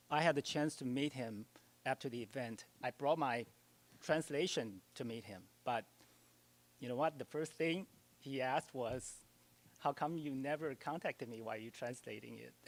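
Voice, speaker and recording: A middle-aged man from Taiwan with a Taiwanese accent, a translator giving a public talk at a library, speaking hesitantly with many ums and light self-deprecating humor. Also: medium pitch.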